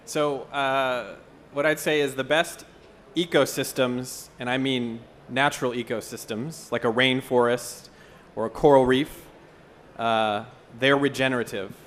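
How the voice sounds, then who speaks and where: Guy on a conference panel explaining stuff, articulate, measured, medium pitch, bit of room noise. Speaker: panel speaker from an entrepreneurship foundation.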